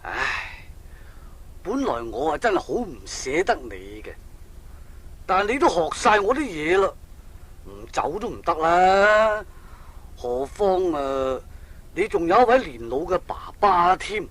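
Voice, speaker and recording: A kindly, sentimental master baker with a Hong Kong accent in a fairy-tale radio play. His voice is high for a man and very expressive in its rise and fall. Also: a little slow, long pauses, exaggerated voice. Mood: fond, reluctant. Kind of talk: 1980s audio drama acting for children's storybook.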